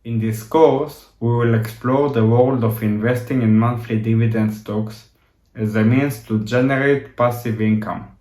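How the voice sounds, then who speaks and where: A man in his thirties from Israel with an Israeli accent, reading a scripted introduction to his course in a steady, measured way. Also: medium pitch, reverberant. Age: 30s. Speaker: course instructor.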